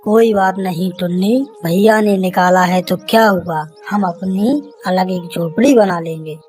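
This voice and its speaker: A woman's voice: lowish voice, unhurried, darkish tone, faint music behind, close to an everyday voice. A woman voicing an earnest, reassuring young male suitor in a cartoon story.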